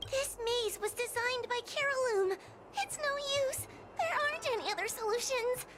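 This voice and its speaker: Very high-pitched, timid anxious girl. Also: normal speed, over loud music. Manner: fretful, polite, earnest. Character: timid, anxious, earnest young girl judge. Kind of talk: anime-style game cutscene acting.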